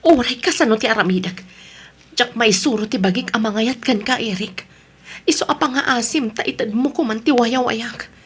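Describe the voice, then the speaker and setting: Unhappy ilocano wife, breathy higher voice, pleading but firm, radio drama. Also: female voice, moderate pace. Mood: pleading, resolute. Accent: Filipino, Ilocano. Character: unhappy wife pleading firmly for her freedom.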